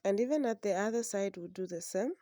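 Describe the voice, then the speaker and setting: A woman, hoarse from a flu, patiently explaining a sewing pattern step by step. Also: medium pitch, warm tone, no room sound, clean studio sound. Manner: slow, patient instructions. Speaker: sewing instructor. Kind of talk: step-by-step drafting tutorial.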